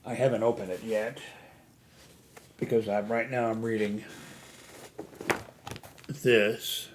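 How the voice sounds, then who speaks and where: A man's voice: lowish voice, level tone, takes it slowly, background noise. A man giving a casual, unscripted talk as an amateur radio hobbyist, rambling and hesitant, often losing his thread. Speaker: amateur radio hobbyist hosting a video channel.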